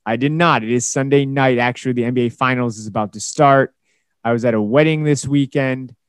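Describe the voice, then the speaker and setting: A young man hosting his own podcast, talking casually alone, a little hoarse and tired, rambling with fillers and restarts. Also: mid-range voice, fairly even intonation, fairly quick, dry recording. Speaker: podcast host. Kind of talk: casual solo podcast talk.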